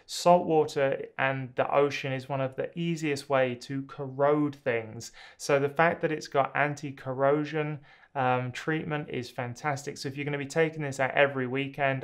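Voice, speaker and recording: Casual male reviewer in his thirties; clear, smooth, slightly high voice, enthusiastic and loose, lots of ums. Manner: relaxed, enthusiastic, many ums and uhs. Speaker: drone reviewer. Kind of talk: casual product review to camera. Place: small indoor home studio.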